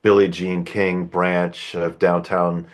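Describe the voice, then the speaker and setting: A middle-aged man from the United States, a composer chatting as a guest in an interview, relaxed and talkative with fillers and restarts. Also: low voice, slow. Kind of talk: casual interview chat.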